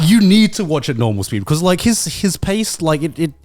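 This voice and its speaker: A man's voice: fairly high pitch, sing-song, moderate pace, a little mellow. A man co-hosting a podcast in casual chat, bantering defensively and repeating words as he argues. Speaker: podcast co-host.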